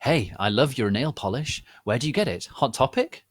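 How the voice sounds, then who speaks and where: A man voicing a young female gothic witch teacher, brisk and foul-mouthed, in a dramatic story reading. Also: mid-range voice, sing-song, breathy edge, dry recording, studio quality, pitched above the performer's own voice. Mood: friendly.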